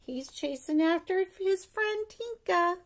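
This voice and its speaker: A middle-aged woman reads a rhyming picture book aloud to young children in an expressive sing-song way, pausing to ask them questions. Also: extremely high pitch, slight background noise. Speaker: preschool story-time teacher reading aloud. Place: living room at home, indoors.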